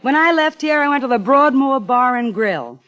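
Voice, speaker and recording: A woman's voice: sits high, expressive, normal speed, fairly bright tone, dull audio, soft background music. An actress playing a tough, tired American woman suspect in a 1950s radio crime drama, defiant and sardonic with snappy retorts.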